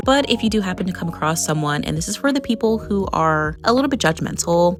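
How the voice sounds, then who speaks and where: A young woman with a tired, raspy voice, chatting candidly and rambling as a nail tech vlogger, full of "like" and "you know.". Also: lowish voice. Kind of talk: casual chatty voice-over.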